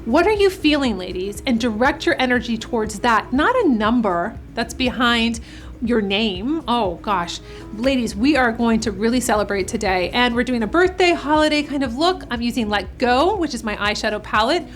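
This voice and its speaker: A woman's voice: a little high, animated, moderate pace, soft background music. A woman hosting a makeup tutorial, speaking to her viewers in an upbeat, encouraging way. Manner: upbeat, encouraging pep-talk delivery. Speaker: host, makeup artist. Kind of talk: beauty tutorial vlog, addressing viewers.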